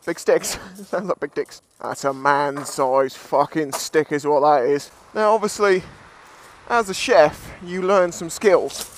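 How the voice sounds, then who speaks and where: A man's voice: on the high side, slow pace, a little hiss or hum. A British man giving casual, jokey, sweary commentary as he vlogs outdoors on a seashore. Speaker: beachcombing vlogger.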